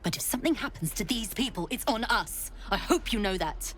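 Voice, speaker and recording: A fiery, indignant adventurer. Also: female voice, a little high, expressive, fairly quick, a bit bright, slight background noise. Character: hot-headed young female adventurer.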